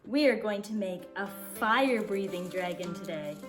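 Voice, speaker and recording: A woman teacher speaking to kindergarteners, expressive and simply instructive, as in story time and craft lessons. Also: mid-range voice, normal speed, over music. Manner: expressive, simple instructive phrasing for young children. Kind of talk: storybook read-aloud and craft instructions for children.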